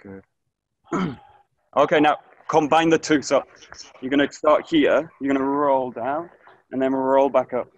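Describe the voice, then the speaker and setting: A man in his thirties teaching an online aikido class, giving calm, short coaching cues outdoors in a grassy orchard, recorded on a camera mic as he moves nearer and farther. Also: mid-range voice, unhurried, pauses often, dull audio, slight background noise. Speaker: aikido instructor. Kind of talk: live online class instruction. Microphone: camera mic, distance varies as he moves.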